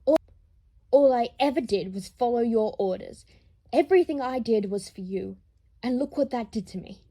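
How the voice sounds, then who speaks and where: Defiant adult son, performed by a woman, hurt and protective, impassioned, higher-pitched yet mellow, for dramatic audio fiction. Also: normal speed. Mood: furious. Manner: impassioned, confrontational, swearing. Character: wounded, defiant grown son of an aristocrat, fiercely protective.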